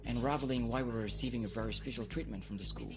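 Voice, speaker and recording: A man's voice: mid-range voice, racing, natural voice. A man playing an earnest, uneasy student giving his account in an amateur radio drama. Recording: music behind the voice.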